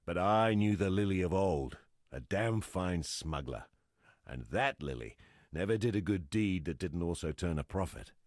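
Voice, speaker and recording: An actor playing a gruff, weary ex-smuggler in a video game, blunt and earthy in his speech, with a gravelly, husky voice. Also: sits low, lively intonation, moderate pace, a little mellow, dry recording, clean studio sound. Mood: cynical. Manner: blunt, earthy, salty-tongued. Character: gruff, weary, plain-spoken exiled former smuggler, adult man. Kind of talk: scripted video game character dialogue.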